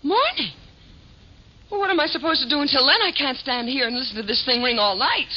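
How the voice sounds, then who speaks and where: A woman's voice: high-pitched, a little fast. An actress playing a frightened, indignant woman with shattered nerves, agitated and stammering, in 1950s radio drama style. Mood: frantic, exasperated. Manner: agitated, repeats herself and stammers. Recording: muffled, hissy 1950s radio recording.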